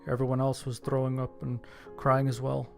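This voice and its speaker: Gravelly, even-toned male horror narrator. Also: medium pitch, normal speed, soft background music. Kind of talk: scripted first-person narration.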